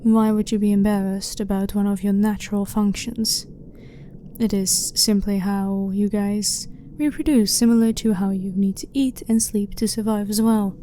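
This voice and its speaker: Female alien scientist acted by a woman, blunt and clinical yet harmless, very soft dark tone, ASMR sci-fi roleplay over noticeable background ambience. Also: mid-range voice, fairly even intonation, close to an everyday voice. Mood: matter-of-fact. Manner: blunt, formal, matter-of-fact, measured. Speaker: ASMR voice performer. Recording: clearly noisy ambient background.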